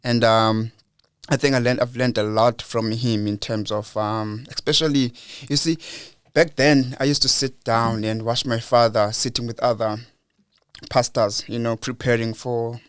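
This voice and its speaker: A man's voice: medium pitch, steady tone, unhurried, smooth, no room sound, studio quality. A young South African man as a podcast guest, talking earnestly and reflectively, repeating words as he goes. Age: young adult. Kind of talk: casual interview talk.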